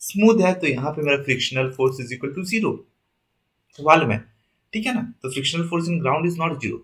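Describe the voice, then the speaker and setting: A young male tutor with a slightly raised pitch talks through engineering problems while nursing a sore throat. He reads each question slowly before switching to brisk explanations, and he keeps checking that his listeners are following. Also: expressive, a bit bright. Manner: reads English questions slowly, explains in quick Hindi asides. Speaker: exam coaching teacher. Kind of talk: online exam-prep lesson, Hindi mixed with English.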